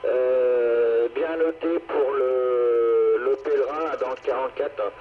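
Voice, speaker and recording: A man's voice: medium pitch, steady tone, slow pace. A man chatting casually as an amateur radio operator, courteously exchanging signal reports. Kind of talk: casual radio contact chat. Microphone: two-way radio microphone. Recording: tinny narrowband radio, faint noise.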